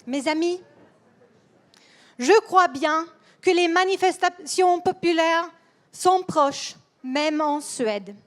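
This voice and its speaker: A Swedish-accented woman delivers a political speech in a language that is not her own. Her voice sits very high, and she moves slowly and deliberately, pausing and repeating phrases as she finds her words. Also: animated. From Sweden. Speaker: political speaker. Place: rally hall.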